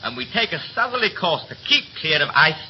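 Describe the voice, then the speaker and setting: An actor in a 1930s radio play as a young third officer, brisk and slangy, chatty yet scornful and resentful. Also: male voice, a little high, sing-song. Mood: grumbling. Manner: brisk, breezy, clipped slangy speech. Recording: muffled, hissy 1930s radio recording.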